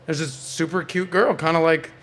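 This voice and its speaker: A man's voice: fairly high pitch, fairly even intonation, moderate pace, fairly bright tone. A man hosting a podcast in casual, opinionated panel chat, bantering and swearing freely. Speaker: podcast host.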